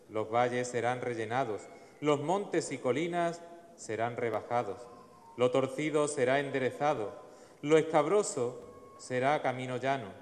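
A man in his forties, a priest, reading the Gospel and preaching at Mass in a measured, solemn way into a lectern mic. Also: mid-range voice. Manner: measured, solemn liturgical delivery. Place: large church in front of the altar. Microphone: stand mic at the lectern. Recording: long church reverb.

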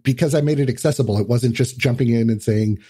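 A man's voice: mid-range voice, quick pace, dark tone, slightly rough. A man talking as a podcast guest in a casual, explanatory, lightly sweary conversational way.

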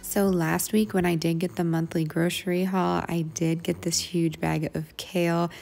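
American woman, casual cooking voice-over, low pitch with heavy vocal fry. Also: flat delivery, moderate pace, a little mellow, soft background music. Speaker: home cooking vlogger. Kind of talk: casual voice-over narrating meal prep.

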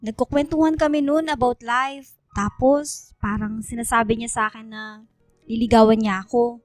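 A woman acts out a chatty young woman in a radio drama, with a high voice that sits close and dry over faint music. She is dramatic and gossipy, confiding in friends in casual, expressive speech. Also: moderate pace, no echo, natural voice.

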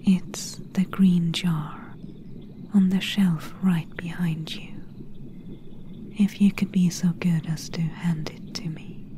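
A woman playing a gentle, soothing swamp witch in a soft-spoken, whispery ASMR roleplay. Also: fairly low pitch, steady tone. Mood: calm, focused. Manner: slow, hushed, whispery and soothing.

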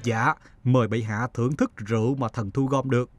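A man playing a wise elderly chancellor in an animated folktale, speaking formally and deferentially in a measured way. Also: medium pitch, dry recording, lightly acted. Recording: music under the voice.

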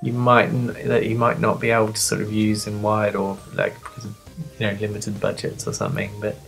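A sniffly magazine reviewer of medium-pitched voice chatting casually off the cuff, rambling, playful and self-deprecating. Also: monotone, a little fast, vocal fry, fairly bright tone, soft background music. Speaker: magazine reviewer and video host.

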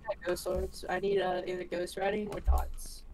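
A woman teammate on voice chat in a ghost-hunting game, animated and quick, scolding the ghost in jest. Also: mid-range voice, faint music behind. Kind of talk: casual voice chat.

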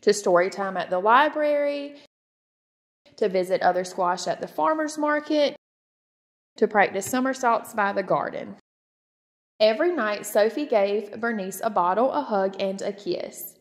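A woman's voice: medium pitch, measured pace. Woman reading a storybook in a reverberant room. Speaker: storybook reader. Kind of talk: children's read-aloud narration.

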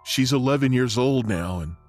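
A man's voice: mid-range voice, expressive, moderate pace, darkish tone, soft background music, lightly acted. An actor playing a humble, sorrowful father who is wrongly imprisoned, in an audio drama.

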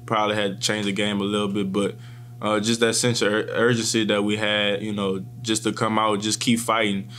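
A young man in his twenties, a college football player, answering post-game questions in a press room calmly and with frequent restarts, speaking into table microphones. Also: on the low side. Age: 20s. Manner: calm and measured, with frequent restarts. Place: press room in front of a sponsor backdrop. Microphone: table microphones in front of him.

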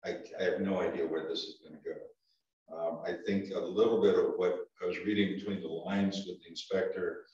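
Low-voiced older man at a town meeting. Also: fairly even intonation, fairly quick, darkish tone. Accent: Vermont. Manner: hesitant, many ums and restarts. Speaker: select board chair. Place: town office meeting room. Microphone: far room camera. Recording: echoey room from far off, dull sound.

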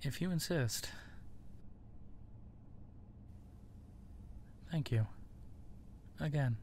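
A man playing a gentle, awkward, self-deprecating werewolf friend in an intimate ASMR audio drama, speaking softly and haltingly. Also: a little high, a little hiss or hum. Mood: flustered, reluctant. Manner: hesitant, soft-spoken, halting, often slipping into whispers.

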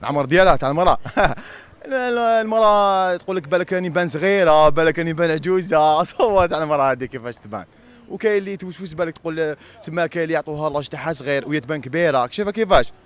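A man's voice: a little high, very fast. A man in his twenties with an Algerian accent, giving casual answers in a street interview. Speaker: passer-by being interviewed. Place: outdoors on a busy city street. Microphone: handheld microphone. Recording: faint street noise.

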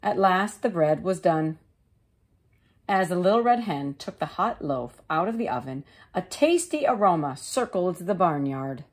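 A woman teacher reading a children's storybook aloud in a measured, expressive way. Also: on the low side, slightly rough. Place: living room couch, indoors.

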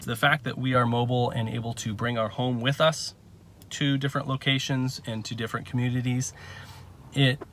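A man in his thirties calmly explaining a home renovation step by step, talking while he films on a phone. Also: medium pitch, a little fast, slight background noise. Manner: relaxed, explains step by step with fillers. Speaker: DIY renovation teacher. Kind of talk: casual instructional talk. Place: indoors, first in a car, then a house under renovation. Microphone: phone camera, often held while working.

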